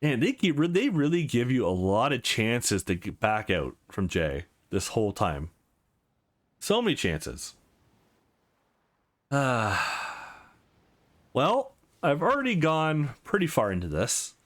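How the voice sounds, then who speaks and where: A man's voice: mid-range voice, sing-song, slow pace, long pauses. A man reading a visual novel aloud for a let's play, adding casual asides and correcting his own stumbles.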